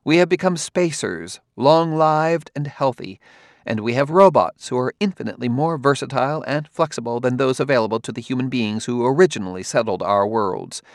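A man's voice: mid-range voice, no echo, clean studio sound, lightly acted. A man voicing a haughty, condescending woman scientist in an audiobook, speaking precisely as if lecturing. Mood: lecturing, curt. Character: haughty, cool woman scientist of a spacefaring world.

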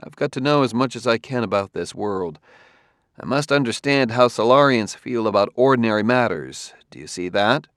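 A man's voice: medium pitch, lively intonation, moderate pace, fairly bright tone, no echo, clean studio sound, close to an everyday voice. A man voicing a persistent male detective who presses his questions firmly.

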